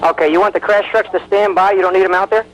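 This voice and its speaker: A man working as a tower controller, brisk and procedural over aviation radio. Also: high voice, fairly even intonation, muffled recording. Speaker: air traffic tower controller. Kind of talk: radio air traffic control. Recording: noisy air traffic radio recording.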